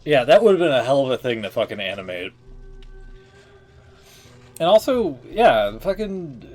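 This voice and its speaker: A man's voice: medium pitch, very animated, moderate pace, a little mellow, soft background music. A man streaming live, giving casual, profane, joking commentary on a game story.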